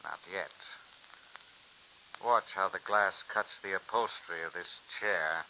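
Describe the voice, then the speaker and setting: An actor playing a cruel, threatening male gangster in an old radio drama, slow and taunting. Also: low-pitched. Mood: sinister. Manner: slow, taunting menace, bursts of shouting. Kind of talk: 1940s radio acting. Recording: dull lo-fi old radio recording with hiss.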